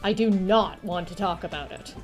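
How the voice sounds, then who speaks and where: A woman's voice: lowish voice, normal speed, darkish tone, lightly acted. A woman puts on a vain, spiteful young starlet from a visual novel game. She is scornful and self-important, with a whiny, dramatic delivery over music. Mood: petulant.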